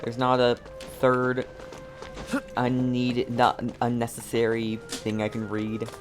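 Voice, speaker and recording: Game streamer, slow casual delivery, rambling, amused, live-stream chat, soft music in background. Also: mid-range voice, completely flat delivery. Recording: faint game music behind the voice. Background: video game music.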